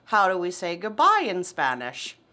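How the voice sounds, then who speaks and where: A woman's voice: lowish voice, huge pitch swings, normal speed, pushed and bright. A young adult woman chatting playfully and casually with a small child, coaxing and prompting with simple questions like a mother.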